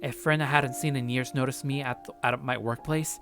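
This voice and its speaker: A man's voice: medium pitch, steady tone, moderate pace, a bit bright, soft background music, lightly acted. A man playing a paranoid, sardonic young man narrating his journal in a visual novel, curt and brooding. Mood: brooding, bitter.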